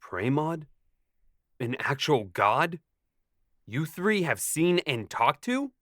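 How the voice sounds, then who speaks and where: A man's voice: on the high side, sing-song, takes it slowly, a bit bright, dry recording, clean studio sound, heavily acted. A man playing an inquisitive, incredulous traveling companion in a fantasy audio drama.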